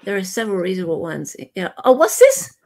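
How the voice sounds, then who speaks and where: A woman's voice: medium pitch, huge pitch swings, quick pace, a little mellow. A woman hosting a live dramatic reading, chatting casually in a playful, mock-serious way as she sets up each item. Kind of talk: casual banter introducing emails.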